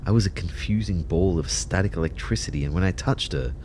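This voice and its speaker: A man playing a melancholy ghost who narrates his own story in measured, reflective audio fiction. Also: deep voice, a little mellow, slight background noise, lightly acted. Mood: wistful, sad. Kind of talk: first-person scripted story narration.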